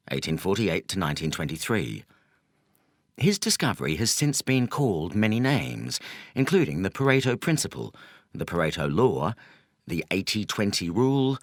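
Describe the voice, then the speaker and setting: A man reading a nonfiction audiobook as a scripted narrator, in measured, deliberate phrases with emphatic stresses. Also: lowish voice, dry recording, clean studio sound.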